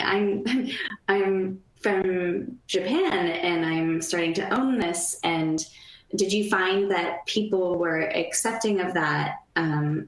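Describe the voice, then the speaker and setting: A woman hosting an interview, asking long, winding questions with frequent restarts and warm affirmations. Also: on the low side, reverberant, low-quality recording. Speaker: interview host, ballet dancer.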